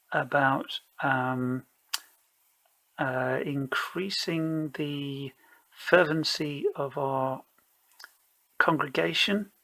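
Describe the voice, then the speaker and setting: A man narrates a solo tabletop game at an unhurried pace, improvising as he goes. His voice sits a little high, with a bright edge. He often hesitates and mulls things over aloud, feeling out each option before settling on it. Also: poor audio.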